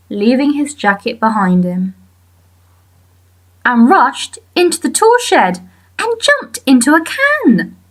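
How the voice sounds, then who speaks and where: Slow, expressive woman storyteller. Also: fairly high pitch. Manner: expressive storytelling with deliberate pauses. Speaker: audiobook narrator. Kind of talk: scripted children's story narration.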